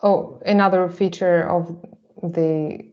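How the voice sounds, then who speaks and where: Woman, artist giving a panel talk, hesitant, lowish voice, muffled audio. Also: fairly even intonation. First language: Russian. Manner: halting, hesitant, frequent pauses. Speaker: artist presenting her work. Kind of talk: panel presentation.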